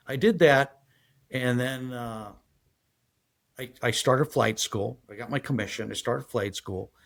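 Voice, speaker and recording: A man in his sixties from San Diego, a guest telling his life story in a halting, rambling way full of fillers. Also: medium pitch, vocal fry. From San Diego, USA. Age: 60s. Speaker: guest, retired federal agent. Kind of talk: casual interview storytelling.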